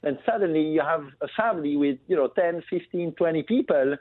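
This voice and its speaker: A man's voice: on the high side, fairly quick. A man from Belgium, a guest on a business talk show, speaking over the phone in a casual, fluent way with many fillers. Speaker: guest, family business founder. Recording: tinny phone line with faint noise.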